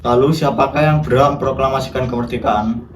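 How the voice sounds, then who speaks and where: Fast-talking, echoey male nationalist, acted by a man. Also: medium pitch, fairly even intonation, natural voice. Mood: questioning. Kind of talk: amateur historical film acting, formal scripted dialogue. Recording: echoey room, distant mic, clear noise.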